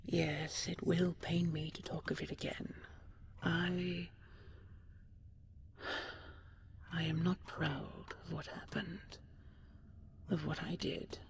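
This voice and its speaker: A woman playing an ancient dragon queen in an intimate sleep roleplay, tender, regal and wistful, speaking softly and breathily in slow, stately phrases, often half-whispering. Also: lowish voice, flat delivery, gravelly, a little hiss or hum. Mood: tender, reluctant. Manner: slow, measured, stately, with lingering pauses.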